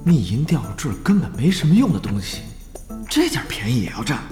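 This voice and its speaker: An actor in a Mandarin audio drama playing an eager young guild gamer who flatters, haggles and mutters in exasperation. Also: male voice, mid-range voice, fairly quick, big-room sound, music in the background. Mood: exasperated, grumbling. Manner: ingratiating, animated, with muttered exasperated asides.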